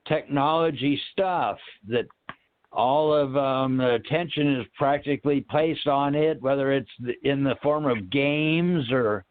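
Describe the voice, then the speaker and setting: A man speaking in a halting, reflective way, searching for words and trailing off as he discusses spiritual ideas, over a narrow phone-like connection. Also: mid-range voice, no room sound. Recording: narrow, tinny phone-line sound.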